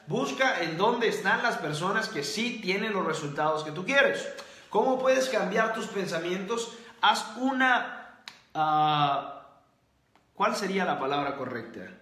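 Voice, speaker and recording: A man with a high voice lectures viewers in a motivational livestream, hammering his points home by repeating them. His voice rings out in a roomy, echoing space. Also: pushed and bright. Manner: preachy, repeats key points. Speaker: host. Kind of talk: motivational livestream talk, reading comments.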